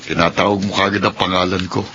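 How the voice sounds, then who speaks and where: A man's voice: deep voice, little pitch movement, fast talker, bright, forceful tone, slight background noise. A man playing a young husband and father in a radio drama, teasing and patient. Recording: dull lo-fi radio recording.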